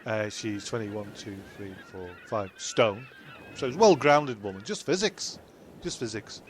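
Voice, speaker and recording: A man's voice: on the low side, unhurried, slight background noise, close to an everyday voice. A man voicing a frustrated, flustered male stand-up comic who swears a lot.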